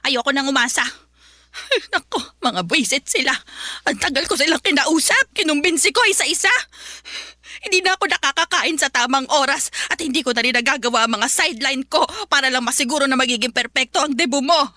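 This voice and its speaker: A woman's voice: high-pitched, expressive, brisk, bright, forceful tone, no echo, studio quality. An actress playing an anxious, proud Filipino mother in a radio drama, fretful and insistent. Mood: exasperated. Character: anxious, proud mother fretting over her daughter's debut.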